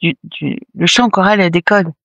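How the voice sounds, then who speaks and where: A man's voice: high voice, normal speed, creaky, a bit bright, no echo, muffled recording. A man hosting a casual interview, chiming in with brief affirmations and questions. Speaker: podcast host, interviewer.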